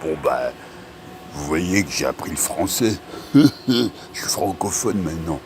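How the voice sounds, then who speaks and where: Slow husky man telling puns. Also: low voice, animated, background noise. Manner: slow, punning delivery with laughs at his own jokes. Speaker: comedian telling pun jokes. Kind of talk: improvised wordplay monologue.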